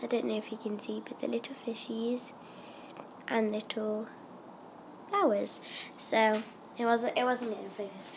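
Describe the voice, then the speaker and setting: Young girl with a cold, high voice, rambly, lots of ums, bit of hiss. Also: flat delivery, measured pace, pauses often. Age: child. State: has a cold. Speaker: vlogger. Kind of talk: casual chatty vlog.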